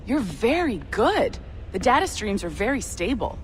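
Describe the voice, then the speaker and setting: A woman's voice: a little high, very animated, normal speed, slight background noise. A woman playing an upbeat, friendly studio guide in a video game, talking in an encouraging way. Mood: upbeat, welcoming. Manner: encouraging, slipping into French greetings. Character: upbeat, friendly female studio guide welcoming a new hire. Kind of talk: scripted video game acting.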